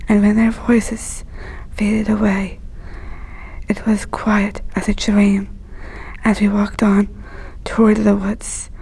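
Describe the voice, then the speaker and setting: A woman reading a children's story aloud in a hushed, breathy, whispery storytelling voice. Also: mid-range voice, completely flat delivery, slow pace, long pauses, rough recording.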